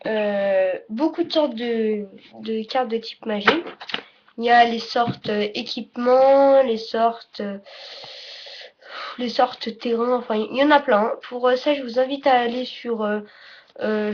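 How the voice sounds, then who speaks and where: High-voiced woman in a halting casual tutorial. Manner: halting, self-correcting, trailing off. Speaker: presenter of a card game tutorial. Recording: dull lo-fi audio, faint noise.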